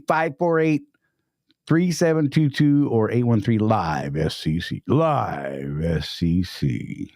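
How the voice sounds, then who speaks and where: A man's voice: medium pitch, a little mellow, no echo, studio quality. A man hosting a casual live stream, upbeat and greeting chat viewers by name.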